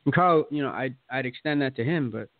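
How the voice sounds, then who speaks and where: A man's voice: mid-range voice, fairly quick, a crowd in the background. A man co-hosting a casual podcast chat, joking, swearing and laughing often. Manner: laughs a lot, swears, jokey asides. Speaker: podcast co-host. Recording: dry, phone-like sound.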